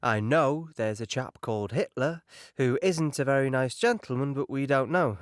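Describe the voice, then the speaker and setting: An actor playing an earnest, naive young British soldier, plain-spoken and a little hesitant, in an audio drama monologue. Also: male voice, mid-range voice, moderate pace, no echo, clean studio sound, lightly acted. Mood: candid. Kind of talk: dramatic monologue recounting wartime memories.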